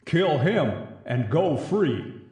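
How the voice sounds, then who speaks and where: A man voicing an unseen, impatient commander in a dramatic story reading, urging in a drawn-out way with roomy echo. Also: fairly high pitch, sing-song, a little mellow, pitched above the performer's own voice, full character voice. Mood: coldly commanding. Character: booming impatient unseen male voice commanding captives to kill.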